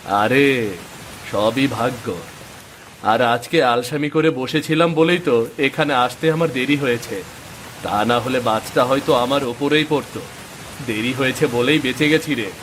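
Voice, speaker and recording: A man's voice: mid-range voice, measured pace, pauses often, a bit bright, slight background noise, natural voice. A man voicing a lazy, grumbling farmer in a cartoon moral story, with sighing, drawling complaints. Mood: nonchalant. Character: lazy grumbling male farmer who trusts in luck.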